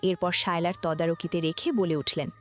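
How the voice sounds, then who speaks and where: A woman's voice: on the low side, fairly even intonation, normal speed. Woman narrating a story over music. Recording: music behind the voice.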